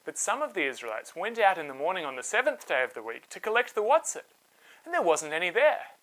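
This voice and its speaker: Casting: bright, highly expressive children's Bible storyteller, with very lively pitch swings and plain conversational delivery. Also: fast, low-quality recording. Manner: expressive, simple conversational retelling.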